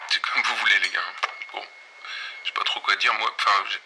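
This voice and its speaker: A man playing an irreverent, weary pirate radio host in an audio fiction, talking in an offhand, sardonic, halting and slangy late-night monologue. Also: fairly low pitch, fairly even intonation, background noise, close to an everyday voice. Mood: sardonic, rambling.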